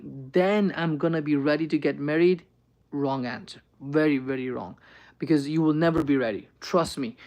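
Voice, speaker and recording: A young man on a live stream, answering chat questions with earnest, reassuring advice and lots of ums and "right?". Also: a little high.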